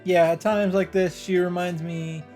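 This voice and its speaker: A man's voice: high-pitched, steady tone, unhurried, a little mellow. A man hosting a let's play, reading visual novel narration aloud with casual asides. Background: game music.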